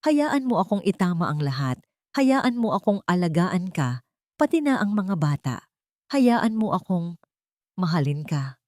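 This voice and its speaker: A Filipino woman plays a rich businessman in love in an audiobook drama, full of remorse. The delivery is earnest and pleading, with wide swings in pitch and a dry, close sound. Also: sing-song, measured pace, a little mellow, no room sound. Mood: tender, pleading. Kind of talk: audiobook character dialogue.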